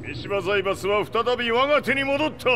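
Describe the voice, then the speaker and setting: A man's voice: very high voice, fast, bright, forceful tone. An actor playing a proud, domineering old male warlord who speaks in archaic, commanding Japanese, in a video game cutscene. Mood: commanding, triumphant. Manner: archaic, imperious old-man speech, commanding. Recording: noisy game scene ambience.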